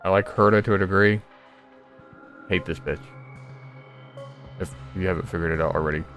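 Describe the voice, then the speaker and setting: Male gamer, deep voice, casual commentary, sarcastic, crude, grumbling, game music in background. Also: normal speed, fairly bright tone. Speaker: gamer commentating on a story playthrough. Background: video game music.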